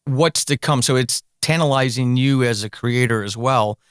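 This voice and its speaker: A man's voice: medium pitch, normal speed, clean tone, no room sound, clean studio sound. A man hosting a conversational interview podcast, thinking aloud and rephrasing as he goes. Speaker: podcast host.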